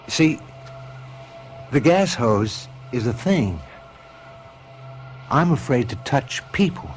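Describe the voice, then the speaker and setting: An actor playing an uneasy, wry husband in a radio drama, casual on the surface and a bit hesitant. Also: male voice, medium pitch, expressive, slow pace, soft background music. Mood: troubled. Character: uneasy, wry husband, privately afraid of touching people. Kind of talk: old-fashioned radio drama acting. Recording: noisy vintage radio drama tape.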